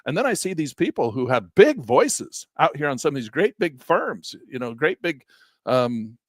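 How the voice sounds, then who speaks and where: Animated older man teaching trading. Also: moderate pace, no echo, clean studio sound. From Southern Utah. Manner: animated and exasperated, mocks critics in a high squeaky voice, drops low to grumble. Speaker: trading educator. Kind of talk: live-stream trading lesson, off-the-cuff rant.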